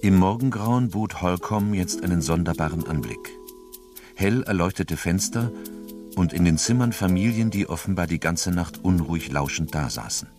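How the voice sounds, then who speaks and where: An actor as the sober narrator of a crime radio drama, reading scripted literary prose. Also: male voice, sits low, expressive, normal speed, darkish tone, soft background music. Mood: sober, factual. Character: sober male omniscient narrator of a crime story.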